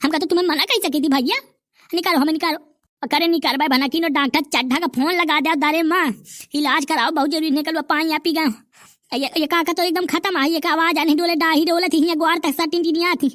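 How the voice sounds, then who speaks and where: A woman voicing a brash, quick-tempered village character in a comedy cartoon, with a Bundeli accent. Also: high-pitched, fairly even intonation, fairly bright tone, no echo, studio quality.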